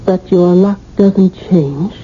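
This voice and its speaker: A woman's voice: on the low side, takes it slowly, dark tone, husky, soft background music. A woman acting a sly, seductive voodoo witch in a radio drama, coolly teasing and evasive. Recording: dull, noisy old radio broadcast recording.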